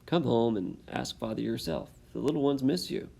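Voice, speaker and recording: A man's voice: medium pitch, big pitch swings, moderate pace, creaky, warm tone. A man voicing a brave, spirited young colonial girl in a raised, lighter voice, reading a storybook aloud. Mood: eager.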